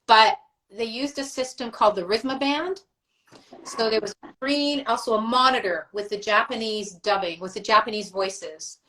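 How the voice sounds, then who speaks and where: A woman's voice: a little high, moderate pace, bright, forceful tone, slight background noise, low-quality recording. A middle-aged woman, a voice actress, chatting as a guest on a live video call, rambling through memories with fillers and laughs. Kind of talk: casual livestream chat.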